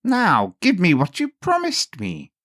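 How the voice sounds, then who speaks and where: A man voicing a comical, gloating, quick-tempered little goblin man in a storybook tale, with theatrical, taunting delivery. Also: medium pitch, huge pitch swings, a bit bright, dry recording, studio quality, voice pushed up. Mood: demanding, sly. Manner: theatrical, exclaiming, drawn-out taunts.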